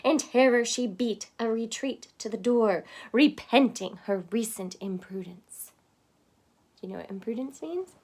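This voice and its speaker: Higher-pitched woman reading a storybook aloud. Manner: expressive, pausing to explain words simply. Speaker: storybook reader. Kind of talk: dramatic read-aloud of a children's storybook.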